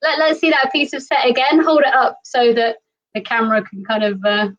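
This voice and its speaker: A woman's voice: on the high side, little pitch movement, brisk, a bit bright, dull audio. A woman hosting a live stream over a video call, upbeat and greeting viewers. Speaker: host.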